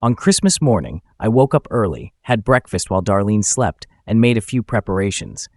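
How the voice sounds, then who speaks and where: A man's voice: on the low side, big pitch swings, moderate pace, a little mellow, no room sound, studio quality. A man reading a scripted first-person story as narrator, acting out the dialogue as he goes. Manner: acts out the dialogue.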